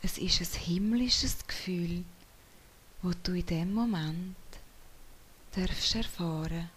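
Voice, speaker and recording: A woman whispering softly as she leads a guided meditation in a slow, soothing way. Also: on the low side, slightly rough. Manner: soft whispering.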